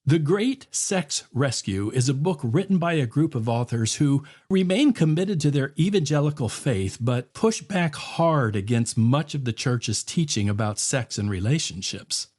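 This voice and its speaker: A man hosting a podcast, reading an earnest, measured introduction. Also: medium pitch, expressive, darkish tone, breathy edge. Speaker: podcast host.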